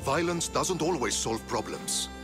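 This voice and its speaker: An actor playing a measured, cautious soldier ally in a video game, earnest and reasoned. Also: male voice, fairly high pitch, expressive. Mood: grave, cautious. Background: music.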